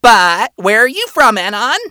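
A performer voicing a polite, nervous male imp with a scratchy, high voice and a halting, apologetic way of talking. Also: sing-song, pushed and bright, no room sound, studio quality. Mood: curious, mild.

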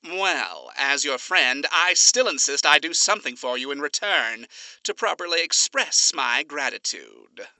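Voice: mid-range voice, very animated, measured pace, sharp tone, no echo, heavily acted, poor audio. Narrator doing smug fancy radio demon guy, super polite and formal, bouncy delivery. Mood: grateful. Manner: formal, genteel phrasing. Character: smug, courtly male radio demon, gentlemanly.

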